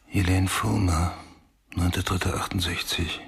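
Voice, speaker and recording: An actor in a radio play voicing a driven, brooding male homicide detective who thinks aloud in clipped, breathy phrases. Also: low-pitched, steady tone, unhurried, slight background noise. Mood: brooding, obsessive. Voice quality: husky, breathy, often whispering. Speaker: actor playing police detective. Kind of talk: radio drama monologue.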